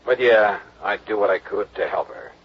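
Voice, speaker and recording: A man's voice: fairly low pitch, very animated, normal speed, gravelly, slightly rough, full character voice. An American man playing a tough, wisecracking insurance investigator in a 1950s radio detective drama, clipped and pressing. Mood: wry narration. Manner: clipped, hard-boiled, pressing. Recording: dull old radio recording.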